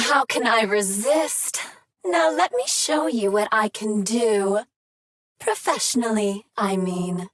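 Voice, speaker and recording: A purring, flirty machine. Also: female voice, fairly high pitch, a bit bright, no room sound, full character voice. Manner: purring, seductive, teasing. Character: flirty female machine, sultry vending unit. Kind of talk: video game character dialogue.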